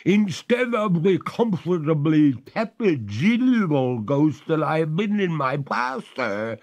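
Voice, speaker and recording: A genteel lady ghost of the water pleads in a high, stretched, imploring voice, as a man reading the story puts her on. Her lines are slow and swoop widely in pitch, with a slightly odd, strained timbre. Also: very animated, a little mellow, full character voice. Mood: pleading.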